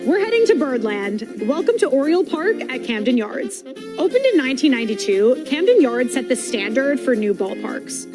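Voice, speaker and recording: High-voiced woman, promo narration over music. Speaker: narrator of ballpark guide. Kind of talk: scripted upbeat promotional narration.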